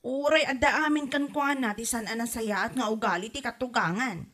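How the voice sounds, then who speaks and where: A woman's voice: on the high side, fairly quick, fairly bright tone. A woman with an Ilocano accent playing a chatty, sympathetic neighbour and confidante in a comedy drama.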